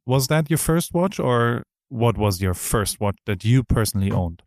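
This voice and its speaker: A man's voice: medium pitch, expressive, normal speed, a little mellow, no room sound, studio quality. A middle-aged man hosting a casual interview, talking eagerly in rambling sentences with many restarts. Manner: enthusiastic, rambling with frequent restarts. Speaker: podcast host.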